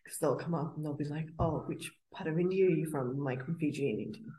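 A woman co-hosting a casual chat, reflective and quick to laugh. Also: sits low, mellow, low-quality recording. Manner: attentive listener, frequent laughs, reflective. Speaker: podcast co-host.

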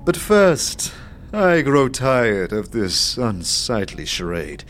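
A man's voice: mid-range voice, very animated, slow, soft background music. A man voicing a gleeful, mad trickster fool who speaks in theatrical sing-song rhyme for a fantasy audio drama. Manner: sing-song rhyming, theatrical, swooping between hush and glee.

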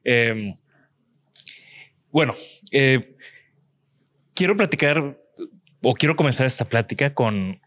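A man's voice: medium pitch, expressive, takes it slowly, pauses often, a bit bright, no echo. Man hosting, dry close sound. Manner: rambling, with restarts and frequent "¿no?" tags. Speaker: podcast host. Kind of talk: conversational interview.

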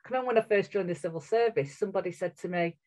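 A woman's voice: medium pitch, very fast, darkish tone. A middle-aged woman with a strong northern English accent, speaking earnestly and candidly as a guest in a podcast interview. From England. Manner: earnest, candid, with frequent restarts. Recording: muffled, lo-fi audio.

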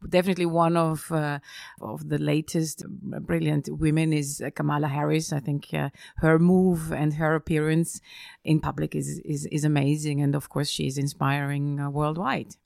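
A woman's voice: sits low, level tone, moderate pace, gravelly, darkish tone, dry recording, studio quality. A middle-aged woman from Austria, speaking as an interview guest in a casual conversation, hesitant with many fillers and restarts. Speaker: interview guest, business executive.

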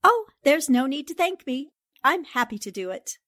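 A woman's voice: high voice, very animated, normal speed, a bit bright, no room sound, clean studio sound. A woman playing a confident, determined newspaper sleuth in 1940s radio drama style, assertive and politely pointed.

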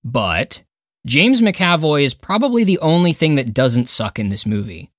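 A man's voice: a little high, sing-song, unhurried, no room sound, clean studio sound. A man reading a scripted review countdown as a podcast narrator and critic, with an emphatic, opinionated, dramatic way of phrasing.

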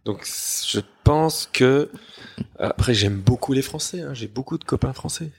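A man's voice: mid-range voice, unhurried, gravelly, husky. A man chatting casually as a podcast panelist, with a teasing way of talking. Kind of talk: casual chat.

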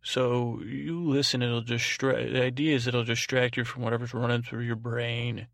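A man hosting a sleep podcast with a meandering, halting bedtime ramble in a lulling, soothing tone, often repeating himself and trailing off. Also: mid-range voice, flat delivery, fairly bright tone, no room sound, studio quality. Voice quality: creaky, gravelly. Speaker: podcast host. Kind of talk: rambling improvised bedtime monologue. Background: music.

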